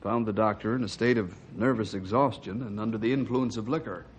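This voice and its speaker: Husky-voiced ranger telling stories. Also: male voice, lowish voice, steady tone, a little fast. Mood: grave. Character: knowing, earnest ranger telling a sad backstory. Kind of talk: old-time radio storytelling. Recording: muffled old radio recording.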